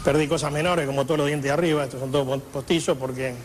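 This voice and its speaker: A man's voice: a little high, fairly even intonation, quick pace, a little hiss or hum. An older man with an Argentine accent giving conversational spoken testimony.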